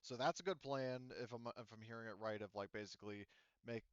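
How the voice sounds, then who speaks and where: A man running an online tabletop roleplaying game as game master, explaining in a halting, circling way. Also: mid-range voice, steady tone, smooth, no echo, lo-fi sound. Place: remote online voice call.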